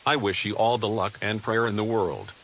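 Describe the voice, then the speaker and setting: A man's voice: fairly low pitch, normal speed, fairly bright tone, poor audio. A man playing a grave, devout US defense official giving a solemn, formal scripted address to the nation. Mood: prayerful, solemn. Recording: hissy background noise.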